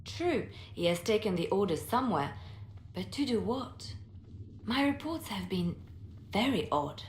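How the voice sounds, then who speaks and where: An actress playing a composed, secretive woman spymaster in a video game, speaking in a measured, grave way. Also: medium pitch, big pitch swings, reverberant, a little hiss or hum. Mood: guarded. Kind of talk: video game character acting.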